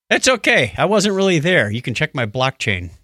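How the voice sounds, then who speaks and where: A man hosting a podcast in casual chat, telling stories in an animated way and acting out what others said. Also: on the high side, a little slow, no echo, studio quality. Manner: animated storytelling, acts out quotes. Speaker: podcast host.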